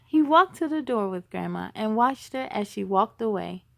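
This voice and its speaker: A woman reading a children's storybook aloud as a storytime host, with expressive, emphatic delivery. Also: medium pitch, moderate pace, creaky, no room sound. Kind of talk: animated children's storybook narration.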